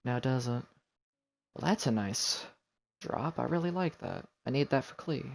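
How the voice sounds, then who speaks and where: A man's voice: mid-range voice, animated, measured pace, creaky. A man streaming a video game, chatting casually and thinking aloud as he plays. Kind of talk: casual gameplay commentary. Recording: game music loud behind.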